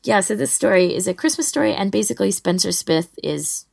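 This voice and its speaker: A woman co-hosting a podcast, chatting casually and enthusiastically about recommendations in a halting, informal way. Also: medium pitch, expressive, vocal fry, darkish tone, no echo, studio quality. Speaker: podcast co-host. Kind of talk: casual chat, giving recommendations.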